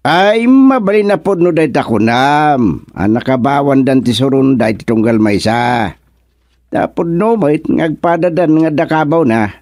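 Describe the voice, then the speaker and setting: A man playing a lively, chatty village man in an Ilocano radio comedy. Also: fairly high pitch, no echo, clean studio sound, heavily acted. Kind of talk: Ilocano radio drama acting.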